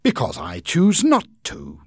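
A proud, grand-mannered gentleman who speaks every line as a loud, formal declaration, played by a man reading a storybook. His voice is pitched well up with a husky edge and swings widely in pitch. The recording is close and dry. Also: wildly swooping pitch, a little slow, no echo, full character voice. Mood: defiant. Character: proud, pompous middle-aged gentleman clerk.

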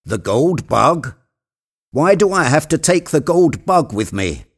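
A man's voice: on the high side, sing-song, measured pace, pitched above the performer's own voice, exaggerated voice. A man voicing a reluctant, wary male servant, hesitant and protesting, in a storybook tale.

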